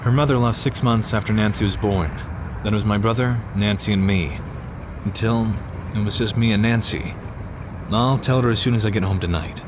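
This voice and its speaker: A gruff police detective played by a male actor speaks in a lowish male voice. He is guarded and weighed down by grief, in the manner of an audio crime drama. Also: moderate pace, noisy background, close to an everyday voice. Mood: somber.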